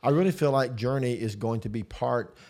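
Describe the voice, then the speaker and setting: A man's voice: mid-range voice, fairly quick, a little mellow. A man, a pastor chatting casually and enthusiastically, often saying "man". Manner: enthusiastic, says "man" a lot. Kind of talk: casual church conversation.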